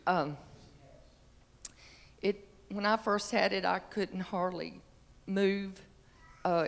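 A woman in her fifties with a slightly raspy voice gives an earnest, halting testimony of faith to a congregation, speaking into a handheld microphone. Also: medium pitch. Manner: earnest, halting, emphatic on key phrases. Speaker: church member giving testimony before singing. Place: large church sanctuary. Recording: reverberant church hall through handheld microphone.

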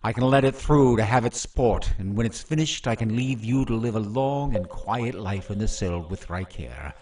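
Casting: male actor as low-voiced, taunting fantasy villain lord, mock-courteous, very animated. Slightly distant, noisy recording. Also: normal speed, lightly acted. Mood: menacing relish. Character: cruel, mocking usurper lord gloating over captives. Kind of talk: radio drama acting.

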